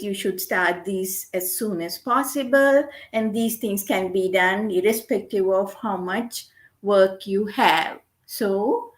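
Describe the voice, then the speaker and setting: Slow, earnest Sri woman. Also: mid-range voice. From Sri Lanka. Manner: gentle, earnest, repeats key points. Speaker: guest lecturer, medical doctor. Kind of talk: guest lecture on meditation.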